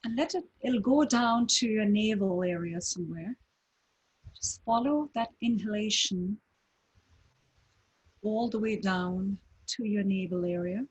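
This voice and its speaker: Slow, breathy woman guiding meditation. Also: medium pitch, poor audio. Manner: slow, calm, gentle instructions with long pauses. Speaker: meditation teacher.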